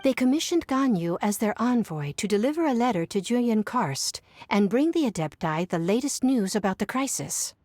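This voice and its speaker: A woman's voice: on the high side, expressive, normal speed, hardly any pauses, darkish tone, no echo, studio quality. Game role: overworked young female official. Raised register, polite, careful explainer. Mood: formal, concerned. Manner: courteous, formal, explanatory. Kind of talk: scripted video game dialogue.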